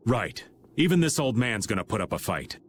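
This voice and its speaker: A man's voice: on the low side, sing-song, a little fast, a little hiss or hum. A man playing a wry, theatrical middle-aged rogue in a video game, with a playful, folksy drawl. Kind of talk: English video game voice acting.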